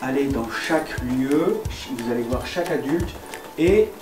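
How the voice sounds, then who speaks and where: A woman's voice: rumbling low, animated, unhurried. A woman teacher giving instructions to a group, recorded at a distance in an echoey room. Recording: distant, echoey, loud music over voice.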